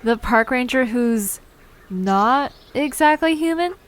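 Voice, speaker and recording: Actress as flustered young woman, high-pitched, curious, nervous, stammering, expressive, audio drama. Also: takes it slowly. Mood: curious, startled.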